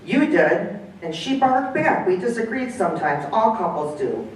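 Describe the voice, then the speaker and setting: A woman's voice: mid-range voice, sing-song, normal speed, slight background noise, lightly acted. A woman voicing an aging, devoted male dog who needles his owner, recorded far off in an echoey room. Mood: insistent. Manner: indignant, needling.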